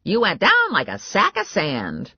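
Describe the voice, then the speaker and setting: A woman's voice: medium pitch, huge pitch swings, unhurried, sharp tone, husky, dry recording, muffled recording, pitched above the performer's own voice, heavily acted. A woman voicing a blunt, tactless, spry old grandmother in a comic audiobook.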